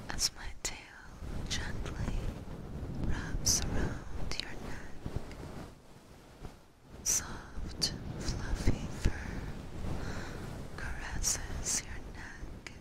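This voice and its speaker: A woman voicing a gentle, soothing vampire catgirl in a slow, breathy ASMR roleplay whisper.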